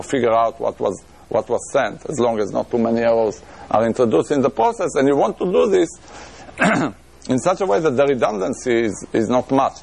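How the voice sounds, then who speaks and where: A middle-aged man with an Israeli accent lectures in a room, his mid-pitched voice gravelly and a little husky. He thinks aloud as he goes, restarting phrases and filling pauses before pressing on. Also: a little mellow. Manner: thinking aloud with frequent restarts and fillers, occasional chuckles. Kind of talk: academic lecture on math.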